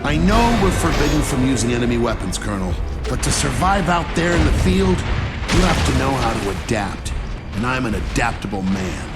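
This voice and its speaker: An actor voicing a wry, matter-of-fact army lieutenant narrating events in a video game. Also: male voice, mid-range voice, big pitch swings, moderate pace, loud music behind the voice. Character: cocky, wry male army lieutenant recounting a battle.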